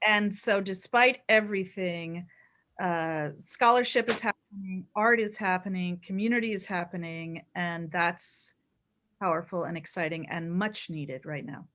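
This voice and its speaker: A middle-aged woman, an actor, speaking in a measured, informal way in a video call discussion. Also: on the low side, fairly bright tone. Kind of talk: casual post-show discussion. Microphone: laptop video call.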